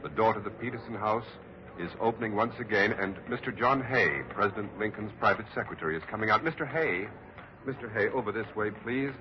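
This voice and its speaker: A man acting an urgent, solemn reporter in an old radio drama. His voice is lowish, formal and dramatic, heard through a muffled broadcast with clear background noise. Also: brisk, natural voice. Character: grave, urgent male radio news reporter. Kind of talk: 1940s radio acting, live news reporting.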